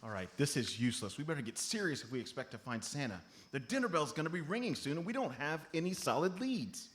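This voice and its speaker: A man's voice: a little high, big pitch swings, fast, fairly bright tone. A man playing an earnest, bossy amateur sleuth, stern and fussy, in a live comedy radio play. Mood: businesslike. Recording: noisy live stage recording.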